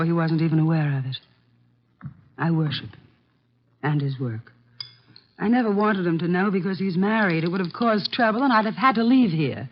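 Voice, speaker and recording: An actress playing a poised, secretive woman secretly in love, in a theatrical 1950s radio mystery style. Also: fairly low pitch. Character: smooth, secretive woman in love with her married employer. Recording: muffled vintage radio recording.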